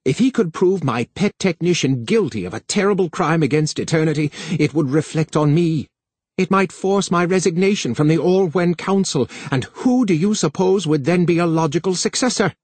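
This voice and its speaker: A man reading an audiobook voices a cranky, whimsical old council elder with a husky voice and an insinuating manner. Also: on the high side, expressive, normal speed, no echo, pitched above the performer's own voice. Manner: sharp, insinuating, commanding.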